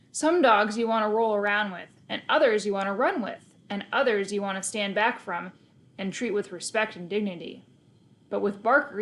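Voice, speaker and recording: A woman reads a children's novel aloud in a mid-pitched voice. She tells it in the first person, leaning on key words and acting out the story as she goes. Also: fairly bright tone. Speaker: storybook reader.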